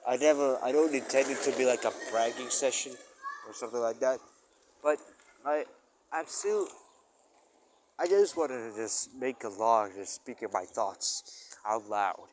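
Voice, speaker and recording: Halting, rambling man over faint background noise. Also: mid-range voice. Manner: halting, searching for words, with emphatic exclamations. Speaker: musician recording a personal audio log. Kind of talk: casual rambling spoken-thoughts monologue.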